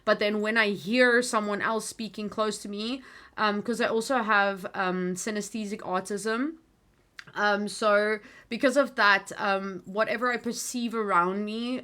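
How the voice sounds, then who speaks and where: A woman with an American accent, raised in South Africa and Afrikaans by birth, talks in a loose, rambling livestream chat. Her voice is bright and clear. She drifts between thoughts with plenty of fillers. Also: medium pitch. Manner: rambling, easily sidetracked, chatty with frequent fillers. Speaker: livestream host. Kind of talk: casual livestream monologue.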